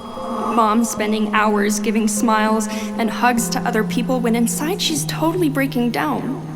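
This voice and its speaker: An actress playing a wistful, tender young ghost daughter who narrates an audio drama. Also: female voice, fairly high pitch, fairly even intonation, measured pace, over loud music. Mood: tender, sad. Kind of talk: scripted first-person drama narration.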